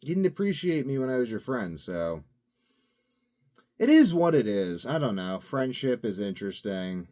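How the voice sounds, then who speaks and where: A man's voice: medium pitch, very animated, normal speed, dark tone. A man talking to his own video channel in a casual, rambling monologue, musing and drifting from thought to thought with plenty of fillers. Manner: musing, drifting between topics with frequent fillers. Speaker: vlogger.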